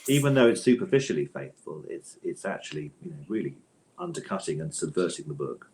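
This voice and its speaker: Deep male voice, casual interview guest, literary chat, hesitant and meandering phrasing. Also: sing-song, fairly quick, darkish tone, slight background noise, low-quality recording. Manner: hesitant, digressive, thoughtful phrasing with repeated tag questions.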